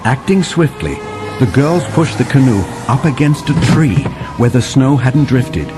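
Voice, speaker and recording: A man as an animated settler storyteller. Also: medium pitch, music in the background, lightly acted. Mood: steady. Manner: animated, vivid storytelling with dramatic pauses. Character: male settler storyteller recounting a winter adventure to John. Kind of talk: dramatic children's story narration.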